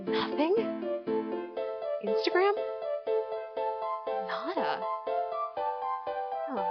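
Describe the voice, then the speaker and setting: Brief: a young woman doing a burnt-out teenage girl, slow, curt, mumbly, muffled recording. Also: a little high, full character voice. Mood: curious. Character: bored overworked teenage girl student. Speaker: lead character. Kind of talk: amateur audio drama acting.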